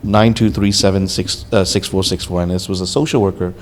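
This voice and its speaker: A young man from Hong Kong with a low-set voice, speaking earnestly and candidly as a podcast guest. He tells his own story in a loose, conversational way, often filling pauses with "you know". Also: steady tone, a little hiss or hum. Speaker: podcast guest, social worker.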